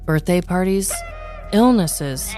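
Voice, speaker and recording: Lower-pitched woman, dramatic tongue-in-cheek storyteller. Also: lively intonation, slow, dark tone, soft background music. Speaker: podcast host. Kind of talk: scripted comedic true-crime storytelling.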